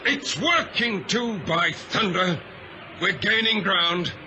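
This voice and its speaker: An actor playing a steady, commanding ship's captain in an old radio drama, speaking crisply and with authority. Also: male voice, sits high, takes it slowly, full character voice. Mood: relieved, determined. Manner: crisp, authoritative, clipped orders. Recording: hissy vintage radio recording.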